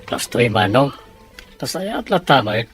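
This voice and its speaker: A man's voice: mid-range voice, very animated, unhurried, over music. A man playing a humble, polite younger brother in a radio drama who speaks deferentially to his older sibling. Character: humble younger brother Romeo, a proud father.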